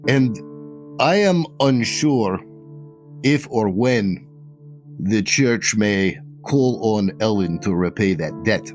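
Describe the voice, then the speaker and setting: A man voicing a grave, measured benefactor tied to a thieves guild, speaking slowly and carefully. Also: on the low side, expressive, faint music behind. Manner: slow, careful, deliberate warnings.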